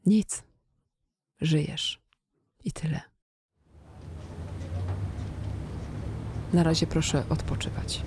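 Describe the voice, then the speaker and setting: An actress playing a brisk, matter-of-fact hospital medic in a naturalistic radio drama, with a clinical, direct manner. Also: female voice, sits low, a little mellow, a little hiss or hum. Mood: blunt, reassuring.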